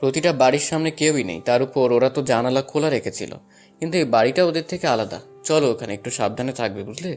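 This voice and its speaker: A man's voice: medium pitch, quick pace, a little mellow, faint music behind, natural voice. A man playing a bold, urgent young man on a rescue mission in an audio story. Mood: cautious. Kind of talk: Bengali audio story acting.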